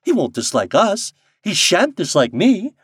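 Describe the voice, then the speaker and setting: Man reader as merry society lady, headstrong, playful, raised pitch, lively swoops, storybook character. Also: slightly rough, dry recording, clean studio sound, heavily acted. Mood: merry, defiant. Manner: brisk, playful, exclaiming. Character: merry, headstrong society woman, confident and impulsive.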